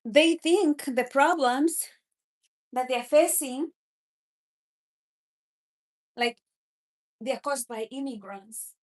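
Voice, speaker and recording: Young Kenyan woman, high voice, livestream host, scornful and amused. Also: extremely slow, pauses often. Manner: mocking, laughs often, answers chat. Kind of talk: casual political livestream chat.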